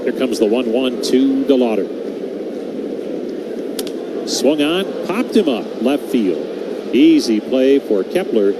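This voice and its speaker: A male American radio baseball announcer calls the game pitch by pitch at an ordinary male pitch. Loud, steady ambient noise sits behind his voice throughout. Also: takes it slowly, long pauses. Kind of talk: live radio sports commentary. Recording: loud background noise on live broadcast.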